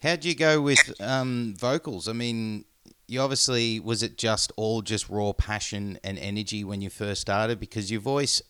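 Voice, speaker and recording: A man's voice: fairly low pitch, a little slow, fairly bright tone, smooth, dry recording. A man hosting a podcast, asking long, winding questions in a conversational way. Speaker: podcast host. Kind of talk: interview questions.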